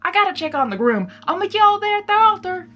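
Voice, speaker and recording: Woman voicing a folksy, lively cowgirl pony. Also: very high-pitched, quick pace, soft background music, pitched above the performer's own voice, full character voice. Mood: decisive. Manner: folksy, plain-spoken. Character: easygoing, teasing female cowgirl farm pony.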